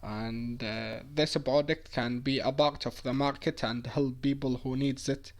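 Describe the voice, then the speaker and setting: A man's voice: medium pitch, fairly even intonation, measured pace. A man giving an explanatory presentation of a student project.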